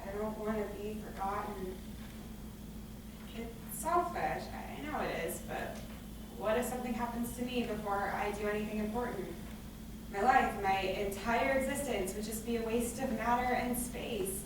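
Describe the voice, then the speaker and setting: A woman's voice: medium pitch, moderate pace, close to an everyday voice. An actress playing an anxious, stubborn young woman in a staged play reading, emphatic and rambling as she frets about catastrophe. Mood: subdued, anguished. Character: anxious young woman, dramatic, stubborn, afraid to leave her room. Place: live staged reading in a reverberant room. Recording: echoey room from far off, noisy.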